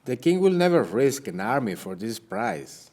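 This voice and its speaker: A man playing a wounded, weary, grave Spanish captain on the run in a period audio drama, with formal, measured speech. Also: medium pitch, sing-song, darkish tone. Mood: grave, resolute. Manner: formal, measured, archaic phrasing.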